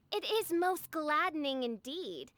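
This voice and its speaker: A grandiose teenage game princess in a high voice. Each phrase is drawn out with theatrical, imperious flourish and antique wording. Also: female voice, a bit bright, a little hiss or hum, exaggerated voice. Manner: theatrical, pompous, archaic diction. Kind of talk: video game character acting.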